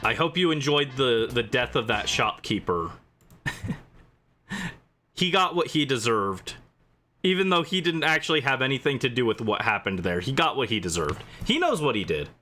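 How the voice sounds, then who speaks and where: A man with a bright, forward voice and very animated intonation hosts a casual gaming stream. He is chatty and playful as he replies to viewers, with music playing behind him. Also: mid-range voice, moderate pace. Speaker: livestream host.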